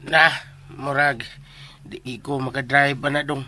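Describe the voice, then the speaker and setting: An actor playing a cheeky, excitable driver sidekick in a comic radio drama, fussily repeating his words. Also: medium pitch. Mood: teasing, pleading. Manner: repeats words rapidly in fussy exclamations.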